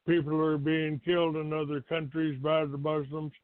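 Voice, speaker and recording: An older American man from Texas hosting a talk show, giving a rambling, halting monologue to his listeners. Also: on the high side, level tone. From Texas, United States. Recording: roomy, tinny phone-like line.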